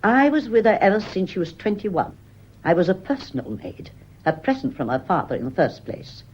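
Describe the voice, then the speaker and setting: A woman's voice: lowish voice, sing-song, normal speed, a little mellow, slightly rough. An actress playing a devoted, prying woman servant, deferential yet pointed, in a period radio mystery. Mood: mournful, sentimental. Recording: dull, muffled old radio recording.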